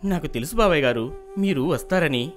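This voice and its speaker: A man's voice: on the high side, animated, a little fast, soft background music, lightly acted. A man voicing a humble, good-hearted young farmhand in a folk tale, earnest and respectful. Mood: firm. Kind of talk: acted folk tale dialogue.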